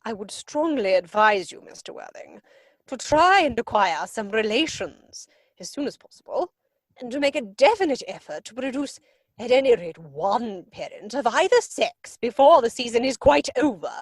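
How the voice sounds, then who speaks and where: A woman's voice: a little high, very animated, normal speed, no room sound. A woman playing an imperious, snobbish older upper-class lady in a theatrical comedy reading, pompous and disapproving. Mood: indignant. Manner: pompous, disapproving, crisply emphatic. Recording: dry home video-call recording.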